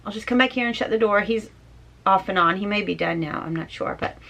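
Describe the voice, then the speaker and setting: A middle-aged woman chatting casually in a home vlog, hoarse from mild laryngitis and worn out, rambling softly and trailing off. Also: medium pitch, fairly quick. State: tired and worn out. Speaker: home vlogger. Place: small closed pantry indoors.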